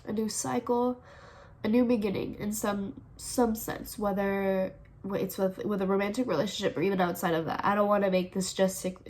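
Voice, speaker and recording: A woman's voice: medium pitch, fairly even intonation, moderate pace, a little mellow. Congested woman reading tarot. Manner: chatty, thinks aloud, repeats "all right". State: congested, sniffling. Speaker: tarot reader, channel host. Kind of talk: casual tarot reading to camera.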